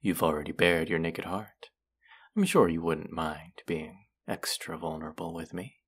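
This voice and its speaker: A man's voice: low voice, moderate pace, husky. A man playing a condescending, teasing young twin brother in an audio roleplay, with a mocking, drawling delivery aimed at the listener. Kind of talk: scripted roleplay addressed to listener.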